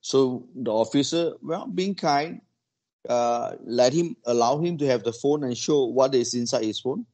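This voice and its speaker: A man's voice: medium pitch, warm tone. A man, telling a story in casual group conversation, with many restarts and "you know" fillers. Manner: halting, restarts phrases, uses "you know" and "lah".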